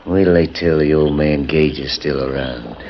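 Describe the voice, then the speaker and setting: A cruel cowboy villain in an old radio Western. His voice is very low and he drawls out his threats slowly in rough frontier slang. The muffled old recording has faint noise. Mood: scheming, gruff. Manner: gloating chuckles, rustic frontier slang. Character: cruel, gloating Western outlaw on horseback.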